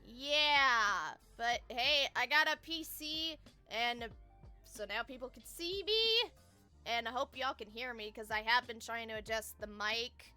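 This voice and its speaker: A woman's voice: on the high side, a little slow, bright, forceful tone. A woman livestreamer talking casually and informally to her viewers, addressing them as y'all. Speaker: live game streamer. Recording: music behind the voice. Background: music.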